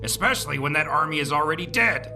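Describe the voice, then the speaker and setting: A man's voice: on the high side, animated, fast, pushed and bright, faint music behind, heavily acted. Man as formal, worried mayor, slightly distant. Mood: concerned, official. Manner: formal, speechifying. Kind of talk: full-cast audio drama acting.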